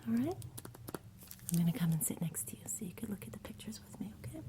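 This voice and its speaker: A woman speaking in a soft, soothing whisper in an ASMR bedtime roleplay, gentle and unhurried as if talking to a child. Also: mid-range voice, big pitch swings. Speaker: ASMR performer playing a caregiver at bedtime.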